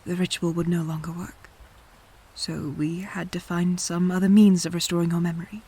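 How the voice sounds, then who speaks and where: Woman acting a breathy, serious investigator. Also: fairly low pitch, little pitch movement, mellow. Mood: grave, confessing. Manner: measured, insistent, sighing. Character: serious, probing woman investigator guarding old secrets. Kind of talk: audio drama roleplay acting.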